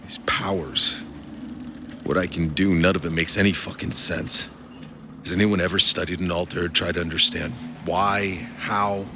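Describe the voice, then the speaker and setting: A low, gravelly game soldier, gruff and sardonic. He speaks in terse, dry bursts, with noise rumbling behind him. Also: steady tone, normal speed. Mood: troubled. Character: gruff, sardonic male outrider soldier, foul-mouthed loner. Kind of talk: video game cutscene acting.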